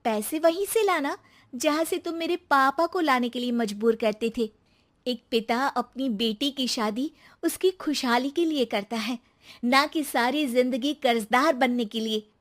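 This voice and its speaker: A woman voicing an earnest, dutiful young daughter-in-law in a moral story, protesting politely with quiet resolve. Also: high voice, a little slow, voice pushed up. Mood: reproachful. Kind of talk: acted moral-story dialogue.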